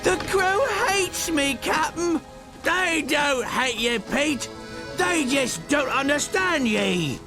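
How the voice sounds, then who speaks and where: An actor playing an eccentric, sentimental pirate in a video game cutscene, with melodramatic old-time pirate talk. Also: male voice, extremely high pitch, expressive, takes it slowly, creaky, over music, heavily acted. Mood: mournful, doting. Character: high-voiced lonely eccentric pirate with a sentimental streak.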